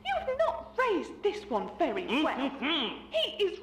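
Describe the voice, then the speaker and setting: An actress playing a mockingly polite, theatrical villain in an audio drama, taunting in a sing-song way. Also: female voice, very high voice, huge pitch swings, a little slow, music in the background, heavily acted. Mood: mock indignation. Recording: roomy echo with noisy ambience.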